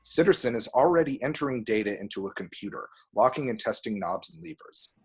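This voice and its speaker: Guy reading out script stage directions, bit of a husky voice. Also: medium pitch, expressive, fairly quick. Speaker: reader of screenplay action description. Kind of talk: scripted narration at an amateur table read.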